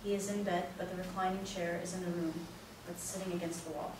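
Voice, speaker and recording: Female narrator, scripted stage directions, heavy room echo, clearly noisy recording. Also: on the low side, little pitch movement, fast talker, darkish tone, distant mic.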